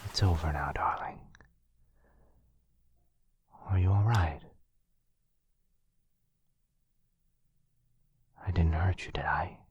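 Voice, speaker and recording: A man playing a tender, protective vampire lover in an intimate boyfriend ASMR roleplay, hushed, breathy and soothing, close to the mic. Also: sits low, a little fast, dry recording. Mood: tender concern. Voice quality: breathy, husky whisper. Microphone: close, dry microphone.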